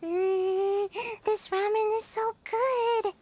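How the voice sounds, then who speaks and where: Squeaky childlike fairy companion. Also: female voice, steady tone, very slow, darkish tone, full character voice. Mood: excited. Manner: speaks of self in third person, exclaiming. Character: small childlike floating fairy companion, bubbly and greedy for food. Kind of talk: anime game voice acting.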